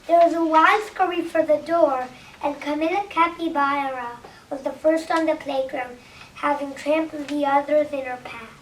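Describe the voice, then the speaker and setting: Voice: high voice, steady tone, normal speed. A young child giving a dramatic reading of a script in a school reader's theater. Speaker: student reader playing characters in reader's theater. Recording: roomy distant classroom recording with faint noise.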